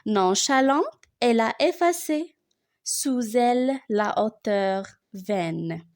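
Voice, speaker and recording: Slow, high-voiced woman reciting poetry. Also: expressive. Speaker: reciter of a school poem. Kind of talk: expressive poem recitation for learners.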